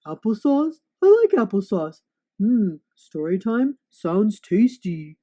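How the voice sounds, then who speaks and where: A big storybook monster played by a woman, loud and blunt, always hungry and grumbling. It has a childish, grumpy temper and booms out its complaints. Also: very animated, slow. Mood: greedy, hungry. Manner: blunt, booming exclamations.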